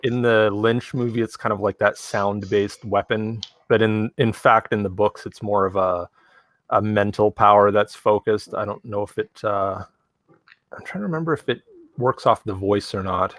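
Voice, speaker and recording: Man in his forties, low dry voice. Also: moderate pace, dry recording. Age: 40s. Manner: relaxed, talks in long run-on thoughts with many "like" and "right?". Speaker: podcast co-host. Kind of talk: casual chat.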